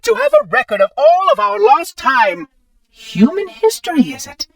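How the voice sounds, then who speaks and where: A man's voice: very high-pitched, wildly swooping pitch, moderate pace, a bit bright, no room sound, full character voice. A man voicing a chipper, fussy male robot caretaker in a video game, speaking in a polite, formal, pedantic way, heard as distant game audio. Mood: giddy. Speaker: game character.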